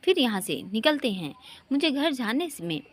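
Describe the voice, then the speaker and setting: Narrator playing a spirited, high-voiced married woman. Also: very animated, moderate pace. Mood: hurried. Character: spirited married housewife, guarded with an old friend.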